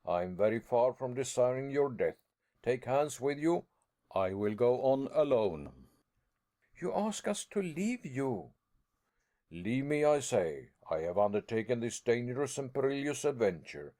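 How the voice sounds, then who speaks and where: A man doing a stubborn old professor, deep and commanding. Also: lively intonation, takes it slowly, mellow. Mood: fierce. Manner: tender at first, then harsh and commanding. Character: stubborn older male professor explorer, fierce and determined.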